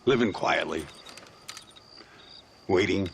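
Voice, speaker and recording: An actor playing an aging, wry and world-weary gunslinger in a Western video game, with a gravelly, drawling, theatrical delivery. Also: male voice, low-pitched, takes it slowly, a little hiss or hum, exaggerated voice. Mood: weary, reflective. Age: older. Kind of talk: game cutscene acting, Western drama.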